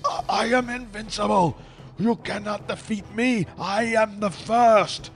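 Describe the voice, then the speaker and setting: A male narrator voicing a mad, immortal villain with mercury in his veins, making slow, booming proclamations, with faint music behind. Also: fairly even intonation. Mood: raving defiant.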